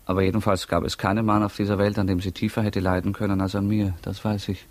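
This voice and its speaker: A man's voice: fairly low pitch, level tone, fast talker, darkish tone, lightly acted. An actor in a 1950s German radio drama playing a prisoner who denies his identity, ironic, insistent and politely provocative. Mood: brooding confession. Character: defiant prisoner, a man denying his identity.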